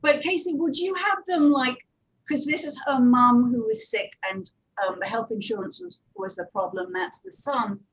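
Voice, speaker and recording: A woman's voice: high voice, moderate pace, slightly rough, low-quality recording. A woman giving an informal panel presentation as an audio producer, talking conversationally with anecdotes and digressive asides.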